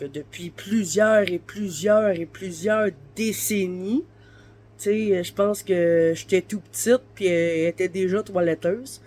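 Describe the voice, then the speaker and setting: A woman's voice: medium pitch, darkish tone. A woman in her thirties with a Québécois accent, chatting casually in a home vlog from her bed, her voice stuffy and congested from the flu, rambling with lots of fillers. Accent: Québécois French. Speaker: vlogger. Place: her bedroom, lying in bed.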